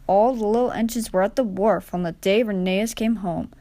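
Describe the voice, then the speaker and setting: A woman's voice: mid-range voice, moderate pace, warm tone, dry recording. A woman reading a children's story as a scripted narration.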